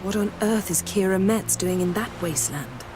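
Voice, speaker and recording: An actress playing a cool, self-assured sorceress in a video game, speaking in a measured, commanding way. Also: female voice, mid-range voice, lively intonation, natural voice. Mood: probing. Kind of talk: video game cutscene acting. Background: music.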